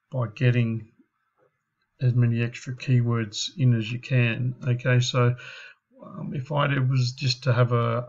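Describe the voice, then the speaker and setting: A man giving an informal screen-share website review as a marketing consultant, explaining things in a halting way. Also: mid-range voice, a little mellow, highs cut off.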